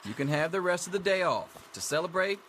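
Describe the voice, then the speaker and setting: An actor playing a dry, sarcastic schoolteacher running an exam in a video game. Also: male voice, sits high, lively intonation, a little fast, fairly bright tone, a little hiss or hum, rough recording. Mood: dry, dismissive. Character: sardonic male vault schoolteacher giving an exam. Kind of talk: scripted video game character dialogue.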